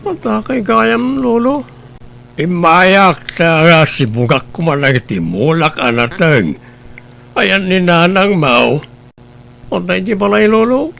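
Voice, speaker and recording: A man playing a headstrong young man in a spoken family drama, pushing his case quickly and insistently. He sounds higher than the grandfather, with a bright, gravelly edge. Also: lively intonation, soft background music, exaggerated voice. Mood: earnest.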